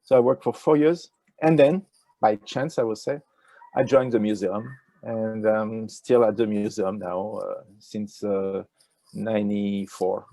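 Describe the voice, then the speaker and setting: An older man with a French accent talks in a gravelly, mellow voice. He answers in a halting way, full of fillers and restarts. The sound is dry, from a wired earphone mic on a video call. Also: lowish voice, fairly even intonation, dry recording. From France. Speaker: ecology professor, interview guest. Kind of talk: casual interview answers. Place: indoors with a virtual nature backdrop.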